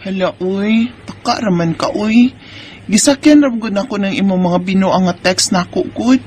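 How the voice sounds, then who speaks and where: A woman's voice: mid-range voice, normal speed, a little mellow, slight background noise, close to an everyday voice. A woman with a Cebuano Bisaya accent playing a married mother, teasing yet guarded, in a radio drama with first-person narration. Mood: flustered, teasing.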